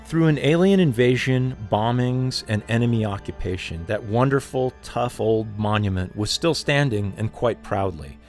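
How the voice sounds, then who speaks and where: Male ex-developer interviewee, medium pitch, recounting past events, music underneath. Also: lively intonation, moderate pace, few pauses, a little mellow. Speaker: former game developer, interviewee. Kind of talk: interview recollection.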